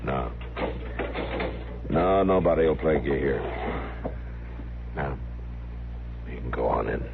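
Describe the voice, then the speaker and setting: A grave, very deep-voiced town marshal in a 1950s radio western. He talks in calm, terse, reassuring lines, as someone in charge. The old recording is narrow and tinny, with clear noise. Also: takes it slowly, exaggerated voice.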